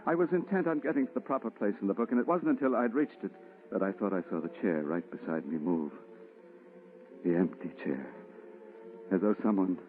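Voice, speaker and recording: A man acting a haunted, agitated man in an old-time radio horror play, dramatic and stammering, swinging from brooding to frantic. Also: deep voice. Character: haunted, agitated man obsessed with a ghostly woman. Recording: muffled, distorted vintage radio recording.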